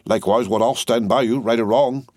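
A man voicing a solemn, trembling male servant, who holds forth slowly as if from a pulpit. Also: mid-range voice, a little mellow, dry recording, voice pushed up, lightly acted. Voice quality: ungrammatical working-class speech. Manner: slow, pulpit-like holding forth.

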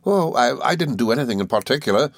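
A man voicing a cheerful, good-natured young gentleman law student in an audiobook, eager and flustered, with little stammering hesitations. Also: medium pitch, lively intonation, fast talker, dry recording, pitched above the performer's own voice. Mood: flustered, mild. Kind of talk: audiobook character dialogue.

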